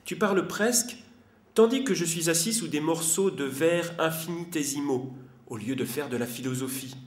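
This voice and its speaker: A man's voice: a little high, normal speed, lightly acted. A man voicing a whimsical round philosopher creature with a snorkel in a playful sing-song recitation. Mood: dreamy. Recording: echoey room.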